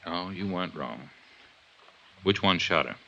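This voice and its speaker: An American actor playing a firm, fatherly police inspector in a 1950s radio drama, gruff yet calming. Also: sits low, measured pace, dull audio, slight background noise, exaggerated voice. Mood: sober, sympathetic.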